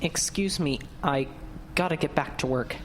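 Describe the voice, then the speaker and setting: Woman playing a low-voiced, plain-spoken construction foreman. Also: normal speed, slight background noise, close to an everyday voice. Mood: stubborn. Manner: folksy, blunt, colloquial. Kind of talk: audio drama acting.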